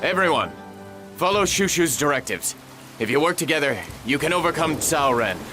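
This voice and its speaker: An actor playing a thoughtful, deliberate lord leading his officers. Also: fairly high pitch, expressive, lightly acted. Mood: measured. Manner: measured, deliberate. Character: thoughtful male lord, measured leader. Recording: game audio with loud music.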